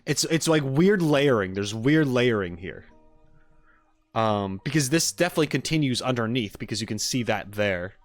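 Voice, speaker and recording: A man's voice: mid-range voice, animated, normal speed, dry recording, soft background music. A man chatting casually on a live stream, giving a rambling, informal critique as he reacts to what he watches. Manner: rambling, informal, frequent hedging and "like". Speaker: streamer reacting to game character trailers.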